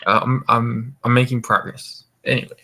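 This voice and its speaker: A man's voice: mid-range voice, little pitch movement, moderate pace, gravelly, noisy background. Rambling man hosting a voice call. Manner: rambling, with frequent restarts and stammered repeats. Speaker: voice call host. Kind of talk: casual voice-chat podcast.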